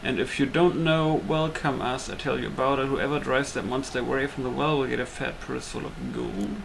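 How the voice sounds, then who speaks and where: A man's voice: medium pitch, moderate pace, creaky, background noise, close to an everyday voice. A man reading a game notice aloud as a troubled village father, in a formal, declamatory address. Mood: pleading, proclaiming. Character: troubled village father pleading for a monster slayer.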